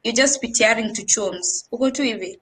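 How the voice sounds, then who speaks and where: A woman's voice: a little high, a little fast, a bit bright, a little hiss or hum. A young woman from Kenya with a Kenyan accent, chatting animatedly on a podcast and switching between English and Swahili slang. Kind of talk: casual podcast chat.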